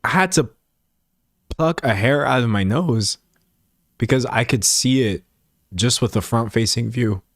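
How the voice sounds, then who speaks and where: A man in his late twenties chatting casually to viewers as a livestream host, rambling in an animated, self-mocking way and acting out imagined remarks. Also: medium pitch, a little slow, pauses often, dry recording, studio quality.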